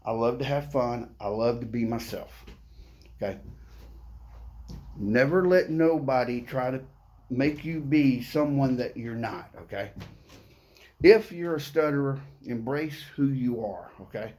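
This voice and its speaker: A man's voice: medium pitch, a little slow, long pauses, darkish tone. A middle-aged man who stutters, talking to the camera in a playful, upbeat way and often adding "okay?". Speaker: vlogger. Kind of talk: casual motivational talk to camera.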